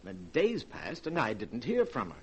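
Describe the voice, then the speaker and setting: An actor in a 1940s radio horror drama playing a cold, scheming murderer with a precise, melodramatic American delivery. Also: male voice, lowish voice, wildly swooping pitch, moderate pace, lo-fi sound. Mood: feigned grief, uneasy. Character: cold, scheming murderer posing as grieving brother. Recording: faintly noisy vintage radio recording.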